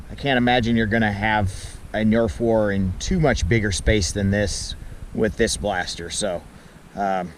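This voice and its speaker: A man giving a casual, matter-of-fact product review and test outdoors, talking as the reviewer. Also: fairly low pitch. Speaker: toy blaster reviewer. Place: outdoors in a yard by a pond. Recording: faint outdoor background noise.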